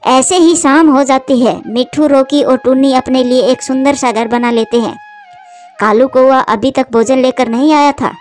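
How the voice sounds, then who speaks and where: A woman reads a scripted children's cartoon story as its narrator. Her voice is high, with faint music underneath. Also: measured pace, a little mellow.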